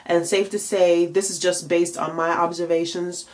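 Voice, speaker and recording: A woman's voice: on the low side, flat delivery, normal speed, a little mellow. Tired, congested woman giving tips. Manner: chatty, frequent fillers, emphatic rhetorical questions. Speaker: makeup and nail artist vlogger. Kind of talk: casual advice vlog to camera.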